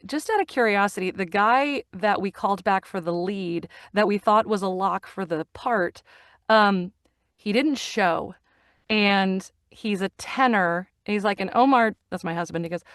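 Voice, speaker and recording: A woman's voice: mid-range voice, dry recording, studio quality. A middle-aged woman chatting as an interview guest, telling stories with many fillers and restarts. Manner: chatty, animated storyteller with frequent fillers and laughs. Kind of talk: casual interview chat.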